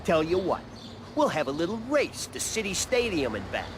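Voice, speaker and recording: An actor playing a brash, taunting motorcycle parts dealer in a video game. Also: male voice, a little high, huge pitch swings, moderate pace, background noise. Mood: cocky, challenging. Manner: cocky, taunting.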